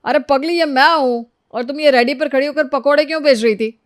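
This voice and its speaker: A man's voice: very high-pitched, brisk, fairly bright tone, dry recording, studio quality. A man voicing a playful young husband in a storybook, coaxing and teasing as he begs for food. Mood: startled. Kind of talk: acted Hindi audio storybook dialogue.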